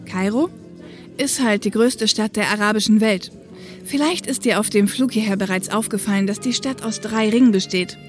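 A woman's voice: medium pitch, normal speed, soft background music, natural voice. A woman acting a sober young female co-pilot. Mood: matter-of-fact. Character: female police helicopter co-pilot from Cairo, sober, caring older sister. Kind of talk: German audio drama acting.

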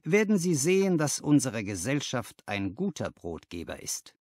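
Man voicing a brisk, animated company boss. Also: medium pitch, no room sound, studio quality. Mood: brisk, commanding. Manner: businesslike, giving orders. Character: brisk, patronising male company boss, businesslike. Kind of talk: audiobook character dialogue.

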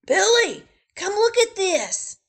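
A woman's voice: very high-pitched, big pitch swings, takes it slowly, voice pushed up, full character voice. A woman reading a storybook aloud, voicing an amused, chuckling father. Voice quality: loud, amused.

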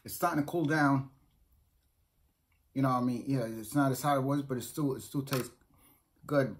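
A man's voice: mid-range voice, moderate pace. A middle-aged man with a New York accent, rambling casually to a close phone camera in a small room at home, often restarting his sentences. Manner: rambling, repeats himself, frequent restarts. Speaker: vlogger. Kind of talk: casual food review vlog. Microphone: phone or webcam close up.

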